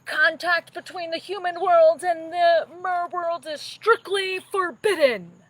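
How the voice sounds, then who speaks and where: A woman voicing a furious, commanding male sea king in a children's storybook, shouting loudly. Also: slow pace, heavily acted. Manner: shouting, high and loud.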